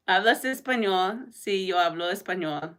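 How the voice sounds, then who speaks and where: A woman's voice: mid-range voice, fairly bright tone. A woman from Rico, hosting a live quilting stream. She chats with viewers, reads out comments and quiz questions, and laughs often. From Puerto Rico. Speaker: quilting channel host.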